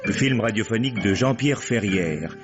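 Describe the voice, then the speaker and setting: A man announcing radio drama credits in a formal, measured way, in the style of 1950s French radio. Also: lowish voice, expressive. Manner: formal, measured listing of names. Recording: dull vintage radio, loud music behind. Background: loud theme music with guitar.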